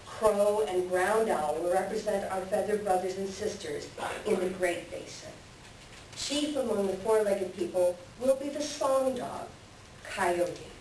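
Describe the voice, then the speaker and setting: A woman voicing a wise, patient male eagle elder in a readers theater, measured in the way a storyteller reasons. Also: mid-range voice, a little mellow, natural voice. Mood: solemn, welcoming. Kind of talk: readers theater stage reading. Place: library room, recorded from a distance. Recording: very echoey library room, distant, hissy.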